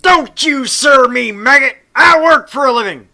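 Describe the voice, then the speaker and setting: A man voicing a tall, furious Marine drill sergeant who shouts and barks insults, read aloud from a visual novel. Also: extremely high pitch, lively intonation, a little slow, pitched above the performer's own voice, heavily acted. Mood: furious barking.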